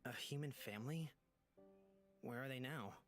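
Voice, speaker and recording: A woman voicing a sympathetic young orphan hero, softly reading his lines from a visual novel. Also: rumbling low, moderate pace, pitched below the performer's own voice. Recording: music behind the voice.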